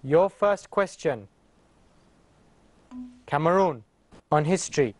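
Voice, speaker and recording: A man's voice: on the high side, animated, slow pace. A man in his thirties hosting a TV quiz show in a studio, friendly and upbeat, on a lapel mic.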